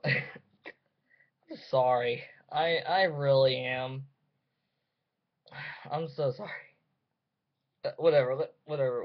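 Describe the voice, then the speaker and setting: A young man reacting casually in halting, self-mocking, sweary chat on a webcam mic. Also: on the high side. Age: young adult. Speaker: reaction video host. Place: small dim room indoors. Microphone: webcam or computer mic at desk distance. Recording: dull lo-fi webcam audio.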